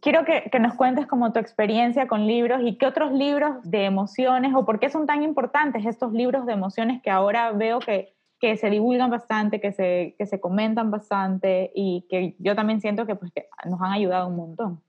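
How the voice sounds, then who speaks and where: Woman host, a touch high, easygoing and rambling, muffled line. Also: normal speed, hardly any pauses. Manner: asks long rambling questions. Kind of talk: casual interview.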